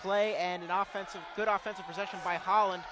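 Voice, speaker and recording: Male sports analyst with a high voice, adding stats and observations courtside during a live game. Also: steady tone, quick pace, a loud crowd. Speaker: color commentator. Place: courtside in an indoor arena.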